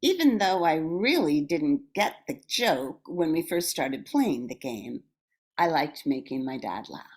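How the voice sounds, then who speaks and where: An older woman tells a scripted personal memory for a radio show. Her voice sits a little low, her pace is unhurried, and her intonation is very lively. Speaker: radio show cast member.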